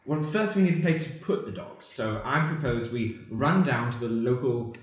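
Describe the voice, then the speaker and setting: A man's voice: mid-range voice, normal speed, natural voice. Man playing an eager, swooping, bumbling handyman. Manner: hesitant, over-polite, excitable. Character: eager, bumbling hardware-store handyman joining a criminal gang. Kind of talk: improvised comedic radio play. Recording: very echoey room.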